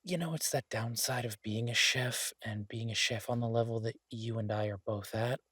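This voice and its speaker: A man playing an easygoing, affectionate chef in an intimate boyfriend roleplay audio, talking in a husky, halting, teasing way to a partner. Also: medium pitch, fairly even intonation, bright, forceful tone, dry recording, studio quality. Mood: casual, wistful. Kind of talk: intimate boyfriend roleplay audio, casual one-sided chat.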